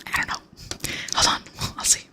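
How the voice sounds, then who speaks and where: A young adult ASMR streamer chatting with viewers in a rambling, close-mic whisper, full of fillers and soft little laughs. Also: moderate pace. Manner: laughs softly between thoughts. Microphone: close mic, near enough to blow into.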